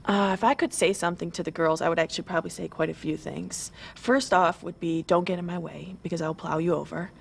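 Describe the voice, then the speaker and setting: Chatty twenty-year-old woman, low voice. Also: fairly even intonation, brisk, vocal fry, few pauses, breathy edge. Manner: chatty, eager, many fillers and restarts. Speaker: model contestant. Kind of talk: pre-show interview.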